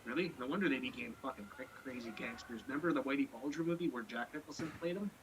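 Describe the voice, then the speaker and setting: A man rattles off blunt, profane, rambling opinions at a quick clip. He speaks at an ordinary pitch for a man. Clear background noise runs under his voice. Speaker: podcast co-host. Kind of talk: casual chat.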